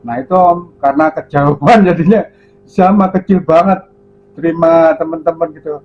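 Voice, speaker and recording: A man's voice: a little high, fairly even intonation, normal speed, soft background music. A man chatting casually and a little hesitantly as an amateur radio operator on a two-way radio. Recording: narrow phone-like sound, faint hum.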